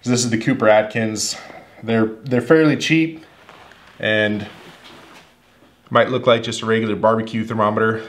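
A man in his thirties, an HVAC technician, casually going over his everyday tools in a workshop, rambling and repeating words as he thinks. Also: lowish voice. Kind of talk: casual tool review talk to camera. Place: indoor workshop, at a wooden table.

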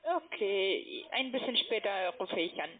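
A woman's voice: mid-range voice, very animated, unhurried. Insistent woman on a tinny phone. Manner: insistent, tag questions. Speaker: call centre trading agent. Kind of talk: scripted sales phone call in non-native German. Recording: narrow, tinny phone line.

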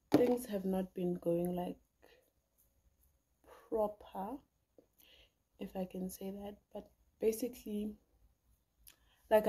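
A woman's voice: mid-range voice, slight background noise. A woman in her twenties, a pastry chef student, chatting casually to her phone camera at home in a rambling way with lots of fillers. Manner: chatty, rambling, with frequent fillers and soft asides. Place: small indoor room at home. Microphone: phone camera at arm's length.

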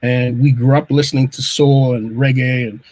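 A middle-aged man from Canada, a music producer, telling relaxed stories over a video call from his home studio and often restarting his sentences. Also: medium pitch. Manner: relaxed storyteller, halting restarts. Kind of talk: casual video-call chat.

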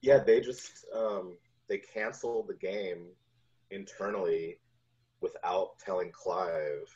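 Male interview guest, 40s, very deep voice, relaxed storytelling style, lo-fi call sound. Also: slow. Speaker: guest, video game composer. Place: home room on a video call. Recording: dull lo-fi video call audio.

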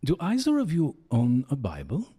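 An actor playing a kindly, solemn reverend in an audio drama, speaking with ceremonial benevolence. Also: male voice, fairly high pitch, wildly swooping pitch, moderate pace, mellow, slightly rough, heavily acted.

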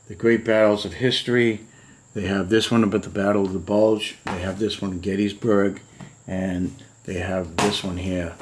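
Deep-voiced man rambling about model kits. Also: slight background noise. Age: middle-aged. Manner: rambling, musing aloud, many fillers. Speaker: model kit collector showing books. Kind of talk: casual show-and-tell vlog. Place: home room full of model kit boxes.

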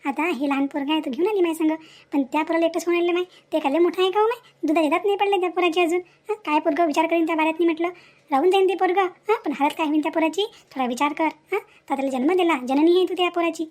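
A woman's voice: very high voice, very fast, darkish tone. A woman voicing a scolding, motherly village neighbour in a cartoon moral story, with a rural Varhadi Marathi accent and an emphatic, admonishing manner full of rhetorical questions. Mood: reproachful pleading. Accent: rural Maharashtra, Varhadi Marathi.

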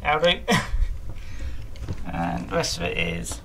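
Croaky man chatting over clicks. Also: fairly high pitch, slow pace. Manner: chatty, self-deprecating, trailing off with ums. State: croaky from a sore throat. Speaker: model railway hobbyist vlogger. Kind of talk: casual narration while working. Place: indoors in a small room, bedroom layout. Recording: noisy home camera recording.

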